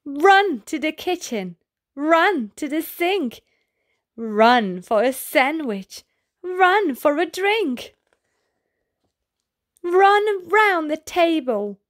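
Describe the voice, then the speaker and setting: A woman with a high voice reads a picture book for little children. Her pitch swoops up and down in an exaggerated, sing-song way. She takes her time, at a slow storytelling pace. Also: big pitch swings. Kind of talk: expressive read-aloud of a picture book.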